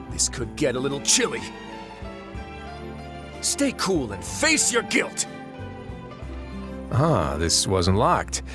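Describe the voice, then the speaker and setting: A male actor voicing a calm, wry, world-weary prison overseer in a video game, laid-back with dry deadpan humour. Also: fairly low pitch, exaggerated voice. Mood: cool, confident. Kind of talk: video game character voice lines. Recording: music behind the voice.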